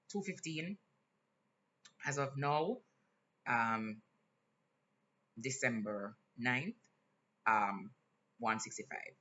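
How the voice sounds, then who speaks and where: A man with a Jamaican accent talking in a casual vlog, earnest and candid, pausing often with fillers. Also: mid-range voice, bright, forceful tone. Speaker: vlogger. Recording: slightly dull lo-fi sound, faint hiss.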